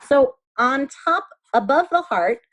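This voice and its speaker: A woman's voice: high voice, lively intonation, takes it slowly, a bit bright, rough recording. A woman teacher leading young children step by step through a craft, explaining simply and patiently with repeated phrases and little questions. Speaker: elementary school craft teacher.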